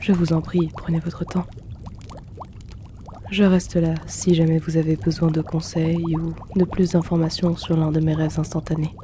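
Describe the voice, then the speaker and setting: A man playing a gentle, teasing potion-shop keeper and herbalist in a soft, polite, unhurried ASMR roleplay. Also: a little high, little pitch movement, a little hiss or hum, lightly acted. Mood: teasing, courteous. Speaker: roleplay audio performer.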